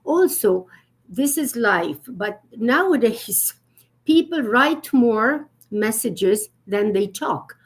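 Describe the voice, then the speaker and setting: A woman with a somewhat high voice explains etiquette in an earnest, explanatory way. She pauses often with fillers as she thinks aloud. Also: lively intonation, slightly rough. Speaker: etiquette guest expert. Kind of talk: conversational live-stream talk.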